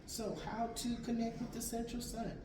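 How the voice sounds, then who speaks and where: A woman with a raspy voice reading tarot cards aloud, gentle and affirming. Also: on the high side, fairly even intonation, moderate pace, far from the mic. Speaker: tarot reader. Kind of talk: spiritual card reading. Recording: echoey room, voice from off mic, background noise.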